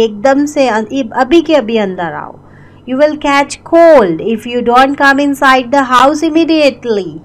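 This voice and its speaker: Slow, repeating Indian teacher woman. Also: on the high side. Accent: Indian English. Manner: repeats each English sentence slowly twice. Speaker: English teacher. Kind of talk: slow bilingual language lesson.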